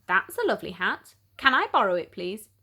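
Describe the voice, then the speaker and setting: A woman voicing an eager, easily exasperated party guest in a storybook, insistent and expressive. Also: medium pitch, brisk, breathy edge, exaggerated voice. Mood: pleading. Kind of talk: storybook read-aloud character.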